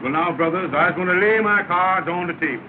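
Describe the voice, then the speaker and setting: Higher-voiced male schemer as acted by a man, grandiose flatterer, 1930 comedy film, tinny noisy sound. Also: brisk, lightly acted. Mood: ingratiating. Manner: grandiose, flattering salesman talk. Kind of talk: 1930s film comedy acting.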